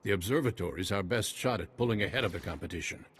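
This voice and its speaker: A man acts a noble, earnest general in a video game. He speaks formally and evenly in a fairly low voice. Faint gunfire noise sits behind him. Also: natural voice. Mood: earnest, grateful. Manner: formal, measured.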